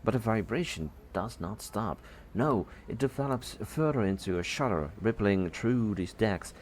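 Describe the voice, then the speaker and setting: A man reading a scripted story as narrator, halting now and then and correcting his words. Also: lowish voice, animated, a little hiss or hum. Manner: halting, stumbles over words and corrects himself.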